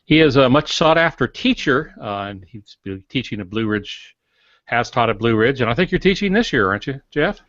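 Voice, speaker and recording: A man in his sixties co-hosting a podcast, introducing things informally in a halting, folksy, lightly joking way. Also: medium pitch, animated, a bit bright, no echo, muffled recording. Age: 60s. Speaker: podcast co-host.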